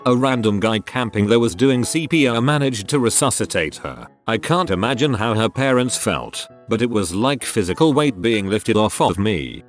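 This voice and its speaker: A man narrating, reading out written forum stories from a script. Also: fairly low pitch. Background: music.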